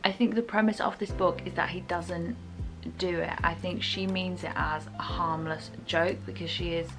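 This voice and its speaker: A young woman talks casually about books to her viewers. Her voice sits fairly low and has a clear creak, with music playing behind it. She is chatty and informal, often hedging her opinions. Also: fairly even intonation, normal speed. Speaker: book reviewer.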